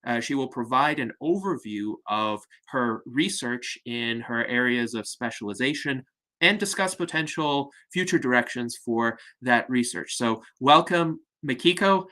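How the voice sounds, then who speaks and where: A man's voice: medium pitch, expressive, measured pace, sharp tone. A man hosting an online salon over a video call, formally introducing a guest. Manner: formal, announcing. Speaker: host.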